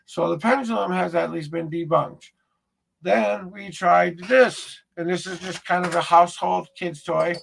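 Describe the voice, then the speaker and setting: A man with a gravelly voice, hosting his own paranormal show, talking casually to camera in a rambling, plainspoken way. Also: high-pitched, fairly even intonation, unhurried, slight background noise, low-quality recording. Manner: rambling, plainspoken, restarts sentences. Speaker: paranormal investigator host.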